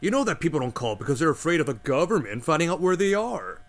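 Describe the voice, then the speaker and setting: A man's voice: a little high, big pitch swings, quick pace. An actor playing an exasperated male radio host who bickers with his rude co-host. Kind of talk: radio drama acting.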